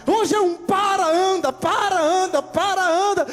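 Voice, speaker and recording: A male pastor from Rio speaks with a Carioca accent and a notably high voice. He preaches with animation, acts out little scenes from daily life, and keeps calling for amens. The sermon rings through a church hall with a long echo and soft music behind it. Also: unhurried. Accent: Carioca Brazilian Portuguese. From Rio de Janeiro, Brazil.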